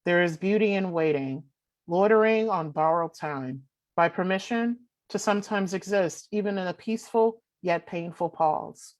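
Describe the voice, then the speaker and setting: A young adult woman performing spoken word poetry with forceful, rhythmic declamation. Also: on the low side, normal speed. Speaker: poet.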